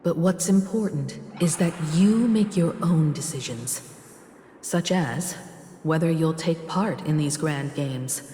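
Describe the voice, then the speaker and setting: A woman playing a poised, thoughtful mentor in a video game, measured and reflective, in echoing reverb with music behind. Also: deep voice, warm tone, a little hiss or hum. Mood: grave, reflective. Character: poised, thoughtful female mentor, calm and wise. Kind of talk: scripted video game voice acting.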